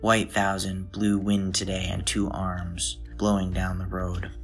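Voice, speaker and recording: A man giving a literary poetry reading in a measured, solemn manner, with deliberate pauses between short phrases. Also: low voice, monotone, soft background music. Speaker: poetry reader.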